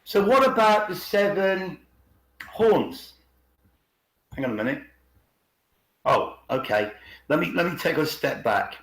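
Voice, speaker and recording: A man's voice: fairly high pitch, lively intonation, slow pace, pauses often, a bit bright. Echoey man presenting with rhetorical questions. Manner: rhetorical questions, emphatic repetition. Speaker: video channel host. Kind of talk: informal presentation with commentary. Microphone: video call. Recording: echoey video call audio.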